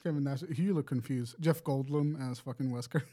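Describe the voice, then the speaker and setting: A man's voice: medium pitch, fairly quick, warm tone. A man co-hosting a podcast in casual, emphatic banter, often repeating words to agree. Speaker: podcast co-host. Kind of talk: casual pop culture banter.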